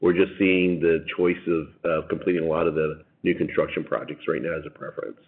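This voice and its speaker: A man's voice: very deep voice, flat delivery, fairly quick. A man speaking as a company executive on an earnings conference call, heard over a narrow phone line. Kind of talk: prepared financial remarks and analyst answers. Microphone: telephone conference line.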